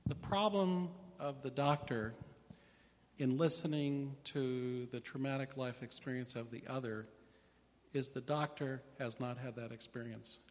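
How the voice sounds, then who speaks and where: A man's voice: medium pitch, fairly even intonation, measured pace. A man speaking as a psychiatrist on a panel, emphatic and lecturing as he challenges the discussion. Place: large hall with an audience. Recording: long hall reverb.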